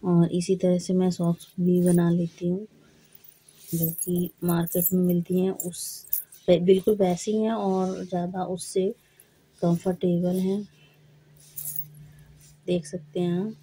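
A woman vlogger who is hoarse from a cold, talking slowly and plainly to camera while trying on socks at home. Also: fairly low pitch, level tone, warm tone, rough recording. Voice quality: husky. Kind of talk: casual vlog talk to camera. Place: indoors at home, camera on the floor.

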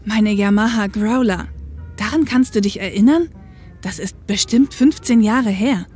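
A woman's voice: mid-range voice, unhurried, a little mellow, slightly rough. A woman playing a tough, upright, street-wise policewoman in an audio drama, speaking matter-of-factly. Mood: reminiscing. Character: tough, upright working-class policewoman and ex-biker, grown woman. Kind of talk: German audio drama acting.

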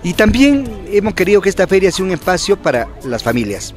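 A man's voice: sits high, big pitch swings, brisk, faint music behind. Man speaking at an outdoor fair. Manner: fluent, promotional, with many fillers and tag questions. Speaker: bank executive interviewed. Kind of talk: outdoor press interview. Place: outdoor fairground, busy event. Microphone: handheld reporter microphone.

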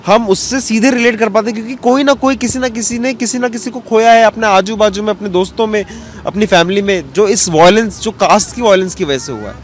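A man's voice: high voice, a little fast. A man, giving an earnest, reflective interview answer mixed with English words. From western Uttar Pradesh, India. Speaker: interview guest, cartoonist. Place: outdoor bench in a public square. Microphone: handheld interview mic held toward him. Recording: outdoor street ambience with background noise.

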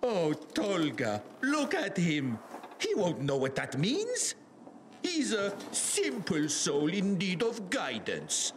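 An eccentric male scientist from a video game, very high in pitch and swinging wildly up and down. He is theatrical, excitable and patronizing, over a noisy background. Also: slow pace, full character voice. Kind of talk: video game dialogue acting.

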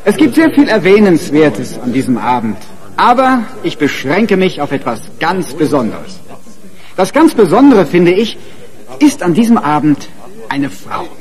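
An actor playing a convivial, charming party guest who gives a grandiose, teasingly emphatic toast in a radio drama. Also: male voice, fairly high pitch, sing-song, unhurried. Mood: jovial, declamatory.

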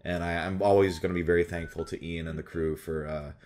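A young adult man, a voice actor, chatting casually to camera in an animated way at home. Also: sits low, brisk. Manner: animated, slips into exaggerated character voices. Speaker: voice actor and video host. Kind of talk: casual chat to camera about voice roles. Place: small furnished room at home, indoors.

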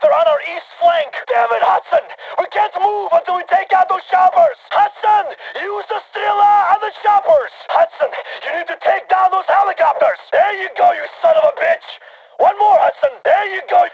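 Embattled soldier on tinny radio. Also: male voice, very high voice, moderate pace, a loud crowd. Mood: desperate, angry. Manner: urgent, strained combat reporting. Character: embattled male soldier calling urgently over radio. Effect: radio filter. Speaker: voice actor playing a soldier on radio. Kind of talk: military game radio chatter. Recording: tinny, very noisy military radio.

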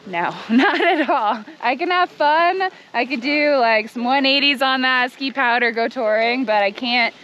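A woman's voice: sits high, unhurried, few pauses, a bit bright, muffled recording. A woman skier chatting casually and giggling on a slope outdoors. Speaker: student skier. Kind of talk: casual chat.

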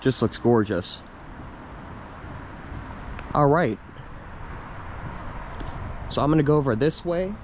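A man's voice: medium pitch, expressive, slow, pauses often, a little mellow, a little hiss or hum. A young man casually narrating his hike through a wooded park, admiring the views and reading a plaque aloud, recorded on a handheld camera outdoors. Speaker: hiking vlogger. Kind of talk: casual hiking vlog narration.

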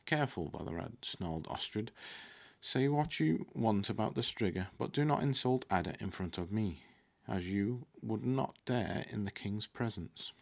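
A man's voice: lowish voice, animated, a little slow, creaky. A man in his thirties reading a fantasy novel aloud, now and then stumbling on a word and correcting himself. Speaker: book reader. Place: indoor room with curtains, at a desk. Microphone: desktop microphone close in front.

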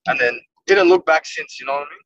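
A man's voice: a little high, fast, a bit bright. A young Welsh-born man, a music producer, chatting casually in an interview from inside a car and rambling with lots of "like" and "you know what I mean". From Wales. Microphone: phone or laptop camera on a video call. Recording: dull lo-fi video call audio from a distance.

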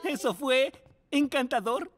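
An actor voicing a kindly mole schoolteacher in a children's cartoon, with an encouraging, expressive manner. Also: high voice, takes it slowly, in a large hall, very noisy. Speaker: teacher. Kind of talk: children's cartoon dub acting. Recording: music behind the voice.

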